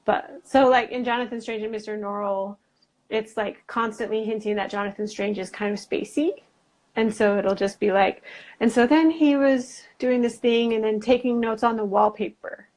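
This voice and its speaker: A woman's voice: a little high, steady tone, normal speed, a little mellow. An American woman in a casual chat, talking in a loose, rambling way and saying "like" often. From Utah, United States. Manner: chatty, rambling, uses "like" often. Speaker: guest, illustrator and writer.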